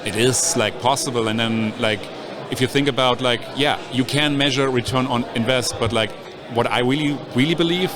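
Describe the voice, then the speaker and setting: A man's voice: medium pitch, normal speed. A man talking casually as a guest at a conference, leaning on "like" as a filler. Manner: loose, fills speech with "like". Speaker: guest, sales executive. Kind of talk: casual conversation. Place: onsite at a user conference. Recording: busy crowd behind.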